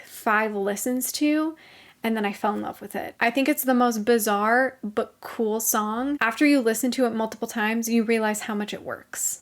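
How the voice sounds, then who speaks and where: Young woman in her twenties, fairly high voice, gushing video host, slightly hoarse. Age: 20s. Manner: gushing, enthusiastic. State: slightly hoarse from long talking. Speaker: channel host. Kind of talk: casual music review vlog.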